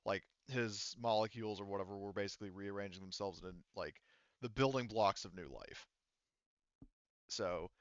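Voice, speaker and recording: A man casually narrating a tabletop game as game master, improvising in a halting, wordy way. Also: lowish voice, fairly bright tone, clear, resonant tone, dry recording, muffled recording.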